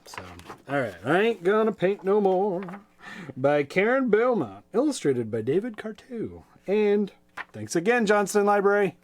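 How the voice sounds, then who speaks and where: A man reading children's stories aloud in a playful, folksy, expressive way, with casual asides. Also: sits high, unhurried, a little hiss or hum. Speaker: storytime reader.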